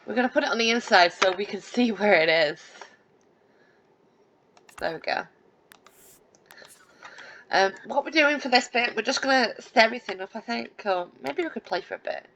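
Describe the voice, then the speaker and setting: A woman's voice: a little high, expressive, moderate pace, long pauses, a bit bright, a little hiss or hum, poor audio. A woman casually narrating her life-sim gameplay, rambling with many fillers and restarts, and poking fun at herself. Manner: self-deprecating, lightly laughing. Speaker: let's play gamer.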